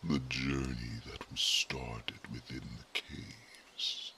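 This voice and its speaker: An ancient, wise guardian, as a man acts him out, speaks in a gravelly, half-whispered hush for a fantasy ASMR roleplay. His voice sits extremely deep yet cuts with a sharp, tense edge, and every word drags out unhurried. A soft hiss runs faintly underneath. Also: level tone, heavily acted. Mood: solemn, gentle. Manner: slow, hushed, half-whispered speech. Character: ancient wise male guardian, calm and solemn.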